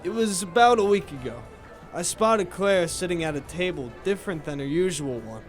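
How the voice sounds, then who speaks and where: A man's voice: high-pitched, animated, normal speed, soft background music. A man playing a friendly nightclub bartender in a noir audio drama, folksy and hesitant as he informs. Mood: confiding. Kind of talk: noir radio drama dialogue.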